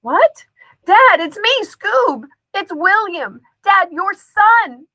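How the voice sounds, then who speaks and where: A woman's voice: very high-pitched, very animated, drawn-out words, sharp tone, dry recording, studio quality, pitched above the performer's own voice, exaggerated voice. A woman voicing an anxious young boy in a storybook, pleading and hesitant as he calls to his father. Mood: desperate, pleading. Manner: hesitant, stammering, earnest.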